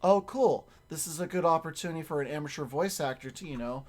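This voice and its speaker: A young man with a strained, raspy voice, chatting casually on his stream as a voice actor and rambling with lots of restarts and the odd swear. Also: on the high side, fast, darkish tone.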